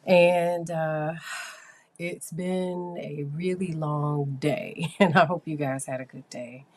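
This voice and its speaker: A hoarse woman hosting a psychology podcast, talking casually and explaining things with fillers. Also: low voice, steady tone, takes it slowly, dry recording. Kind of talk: casual solo podcast talk.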